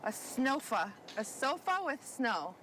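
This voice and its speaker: A woman's voice: high voice, sing-song, slow pace, a bit bright, poor audio. A woman talking casually as a local interviewee, recorded on location outdoors. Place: outdoors in a snowy neighbourhood. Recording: faint outdoor background noise. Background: outdoor ambience.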